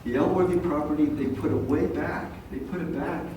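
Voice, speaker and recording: An older man who is a longtime local resident, speaking softly and haltingly at a community meeting. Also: a little high, steady tone. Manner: soft-spoken, rambling with pauses. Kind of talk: public comment at a community meeting. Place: large echoey meeting room. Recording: echoey room, distant mic, faint hiss.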